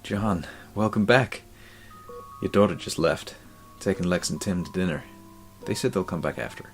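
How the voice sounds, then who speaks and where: An actor playing a calm, guarded, loyal male scientist in a radio play, speaking in a measured, soothing way. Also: low voice, faint music behind. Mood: gentle.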